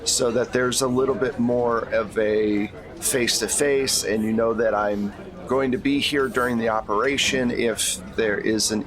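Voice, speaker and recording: A man playing a middle-aged female agency handler in a tabletop game, courteous, protective and measured in a briefing manner. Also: lowish voice, close to an everyday voice. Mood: courteous, businesslike. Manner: measured, professional briefing talk.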